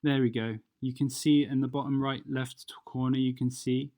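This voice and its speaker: A software tutorial presenter talking through steps unscripted and hesitantly, thinking aloud while demonstrating. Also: medium pitch, flat delivery, normal speed.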